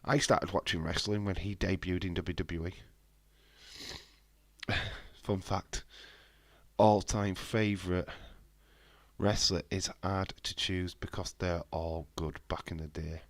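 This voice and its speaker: A man's voice: low-pitched, steady tone, takes it slowly, no echo. A man with a head cold, chatting casually and bluntly on a live stream, swearing freely and answering viewers' messages. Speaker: wrestling watch-along streamer.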